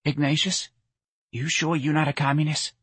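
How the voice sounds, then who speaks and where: A man's voice: mid-range voice, lively intonation, a little fast, fairly bright tone, husky, no echo, pitched above the performer's own voice. A man voicing a plaintive, nagging older working-class mother with folksy, whining speech in an audiobook. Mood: sly, baffled.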